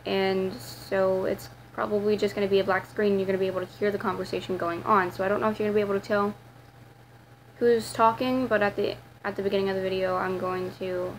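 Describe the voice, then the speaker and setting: A teenage girl with a raspy voice, talking to her camera in a rambling, casual vlog full of fillers and restarts. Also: mid-range voice, level tone, a little fast, slight background noise, rough recording. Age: teens. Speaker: vlogger.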